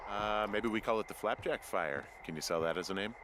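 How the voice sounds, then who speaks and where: A man's voice: lowish voice, brisk, a bit bright, slight background noise, lightly acted. A man with an American accent playing a sardonic, reluctant fire lookout in a video game, in a dry, understated everyday voice. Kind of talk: naturalistic video game dialogue.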